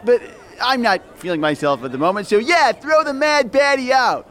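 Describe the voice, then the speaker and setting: A fawning little lackey in a comic audio drama. The voice is squeaky and high, servile and spineless, always eager to please. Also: male voice, lively intonation, normal speed, pushed and bright, a faint crowd, full character voice. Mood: meek, wistful. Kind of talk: audio drama comedy acting.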